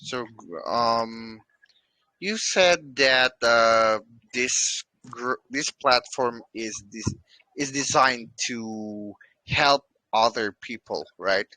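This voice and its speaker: A man hosting an online interview, asking deliberate questions. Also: medium pitch, pushed and bright, no room sound, low-quality recording. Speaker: host.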